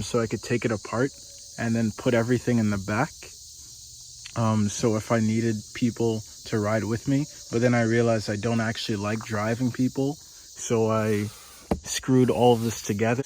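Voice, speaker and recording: A man giving a casual tour of the car he lives in, speaking in a flat, deadpan monotone with dry humor. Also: lowish voice, a little hiss or hum, low-quality recording. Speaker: vlogger living in his car. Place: inside and around a parked car outdoors.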